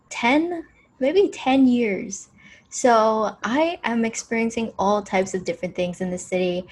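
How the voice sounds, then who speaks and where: A woman in her early twenties from Hawaii, co-hosting a podcast in a relaxed, chatty way and laughing often. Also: fairly high pitch, slightly rough, rough recording. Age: 20s. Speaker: podcast co-host. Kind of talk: casual chat.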